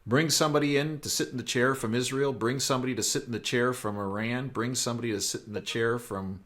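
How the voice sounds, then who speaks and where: An American man hosting a current-affairs interview in a conversational style, with measured, emphatic phrasing. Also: mid-range voice, fairly even intonation, a bit bright. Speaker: interview host.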